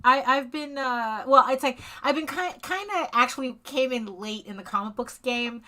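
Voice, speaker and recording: A middle-aged woman from Spain with a bright, crisp tone. She talks casually as an interview guest over a video call, often restarting her sentences and breaking into chuckles. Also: high voice. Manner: halting, with frequent restarts and chuckles. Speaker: comic artist guest.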